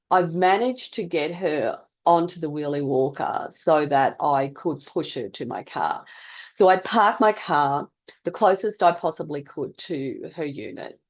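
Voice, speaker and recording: A middle-aged woman tells a personal story in a reflective, deliberate way with dramatic pauses. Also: low voice, dull audio. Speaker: health vlogger telling a personal story.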